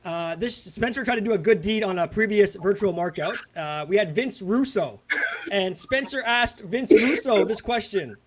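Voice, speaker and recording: A man hosting a fan video call, speaking haltingly with many fillers. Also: high voice, lively intonation. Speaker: host.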